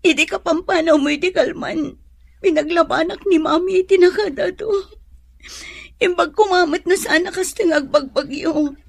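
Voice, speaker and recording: High, creaky-voiced meek young wife. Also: female voice, normal speed, dry recording. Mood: tearful, plaintive. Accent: Ilocano. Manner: hesitant, plaintive. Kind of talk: Ilocano radio drama acting.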